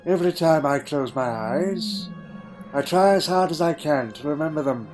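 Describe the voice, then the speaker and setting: A man plays a wistful, lonely time traveller from another world in an audio drama. His voice sits a little high for a man and moves in short, halting, reflective phrases. Music plays clearly beneath it. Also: expressive, a little mellow, close to an everyday voice. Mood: wistful, searching. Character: wistful male alien time traveller recalling a lonely childhood. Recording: music under the voice.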